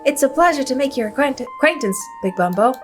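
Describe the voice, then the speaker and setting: A woman's voice: sits high, expressive, fast talker, voice pushed up. Woman playing a genteel old female dog, florid phrasing, haughty, game character, music behind. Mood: gushing. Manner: florid, old-fashioned Southern-belle phrasing.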